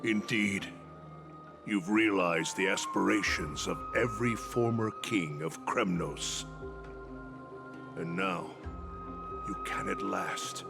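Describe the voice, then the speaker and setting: A deep-voiced elder warrior of a fantasy game, loyal to his kingdom. The voice is full of gravel and very slow. He talks formally and deliberately, giving words weight. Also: heavily acted. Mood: stirring hope. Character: aged warrior mentor, devoted to his fallen kingdom. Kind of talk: scripted game voice acting. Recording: music behind the voice.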